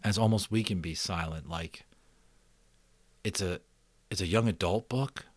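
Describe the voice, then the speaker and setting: Male audiobook reader, slightly low voice, dry intimate sound, expressive dramatic delivery with informal commentary. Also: unhurried, no echo. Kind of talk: dramatic storybook narration with casual asides.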